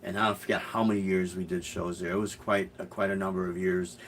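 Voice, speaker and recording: Middle-aged man from Chicago, musician hosting a casual live stream; low-ish, halting, rambly delivery. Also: level tone, slight background noise. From Chicago, USA. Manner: rambling, hesitant, many restarts and fillers. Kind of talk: casual live-stream chat, answering viewer comments.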